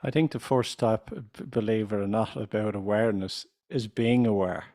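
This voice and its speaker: An Irish man in his fifties, a business coach speaking slowly and deliberately as a podcast guest. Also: on the low side, mellow. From Ireland. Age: 50s. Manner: deliberate, self-assured, slows speech for clarity. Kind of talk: podcast interview.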